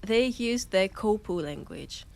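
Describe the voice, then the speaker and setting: A young woman with a French accent playing a laid-off space miner in an audio roleplay, chatting hesitantly with her best friend and trailing off into pauses. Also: medium pitch, lively intonation, creaky, darkish tone. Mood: rueful.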